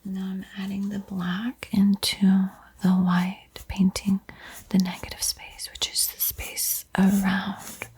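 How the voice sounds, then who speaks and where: A woman playing a gentle vampire art teacher in a whispered ASMR painting roleplay, soothing and unhurried, lingering over words. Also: mid-range voice, monotone. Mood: calm, focused. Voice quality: breathy, mostly unvoiced whisper.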